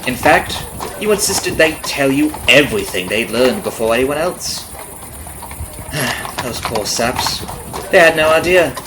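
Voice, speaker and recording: A man's voice: mid-range voice, lively intonation, measured pace, noisy background, natural voice. A man playing a vengeful carriage driver in an ASMR roleplay, taunting and conversational, with a friendly surface over cold menace. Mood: knowing, mocking. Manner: taunting, conversational with rhetorical tag questions. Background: clip-clopping horse and carriage ambience.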